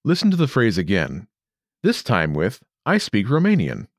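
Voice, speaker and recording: A male narrator walks a listener through a language lesson in a clear, measured teacher's delivery. His pitch swings widely and expressively from phrase to phrase. The recording is dry and close, with no room sound. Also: huge pitch swings, dry recording. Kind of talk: scripted instructional narration.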